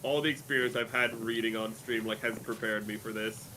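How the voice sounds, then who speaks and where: A man's voice: fairly low pitch, fairly even intonation, a little fast, background noise. A man streaming a game, talking casually and animatedly, with a rambling flow full of fillers. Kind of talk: casual chat while gaming.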